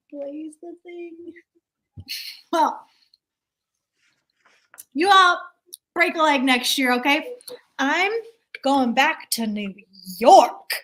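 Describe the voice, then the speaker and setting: A woman's voice: high-pitched, very slow, long pauses, fairly bright tone, full character voice. A woman playing a tipsy, haughty theater director in a comic staged reading, rambling and repeating herself. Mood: grandiose, tipsy.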